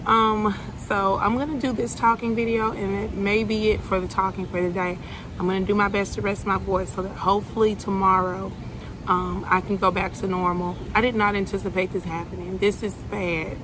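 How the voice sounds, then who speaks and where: A young woman with a hoarse voice, chatting excitedly to her viewers in a casual travel vlog. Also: mid-range voice, moderate pace, background noise. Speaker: travel vlogger.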